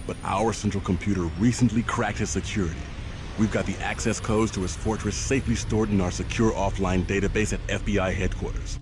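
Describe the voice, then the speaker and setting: A man's voice: low voice, lightly acted. A man with an American accent playing a brusque, businesslike federal agent in a video game. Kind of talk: video game cutscene acting.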